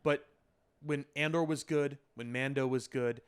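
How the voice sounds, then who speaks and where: Guy podcast host talking casually, mid pitched voice, lays out his points with emphasis. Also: steady tone, measured pace, smooth. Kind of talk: casual chat about pop culture.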